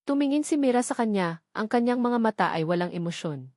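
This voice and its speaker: A woman's voice: on the high side, lively intonation, fairly quick, a little mellow, no room sound, clean studio sound. A woman narrating a dramatic love story from a script.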